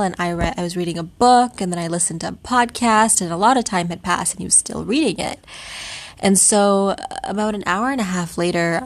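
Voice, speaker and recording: Chatty young woman podcaster, lowish voice. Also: no room sound. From Canada. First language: Tamil. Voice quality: chatty, rambling with restarts and laughs. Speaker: podcast host. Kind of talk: casual storytelling monologue.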